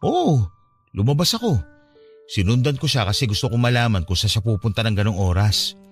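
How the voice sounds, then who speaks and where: A man's voice: medium pitch, expressive, a little fast, soft background music, close to an everyday voice. An actor playing a hot-headed, hurt young man in a radio drama, talking in a defensive, conversational way. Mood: confiding.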